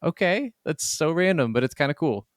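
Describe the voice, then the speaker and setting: Clear-voiced man chatting casually, dry close sound. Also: on the high side, expressive, normal speed, no echo. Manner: rambling, peppered with "like". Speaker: gaming podcast co-host. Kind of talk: casual chat about video games.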